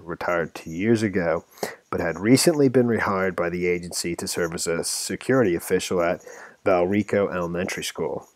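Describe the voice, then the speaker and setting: Man, low voice, informal news commentator, halting delivery, rambling asides, stumbled words, dry close sound. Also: darkish tone, no echo. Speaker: host commenting on a news story. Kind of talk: informal news commentary, part reading aloud and part ad-libbing.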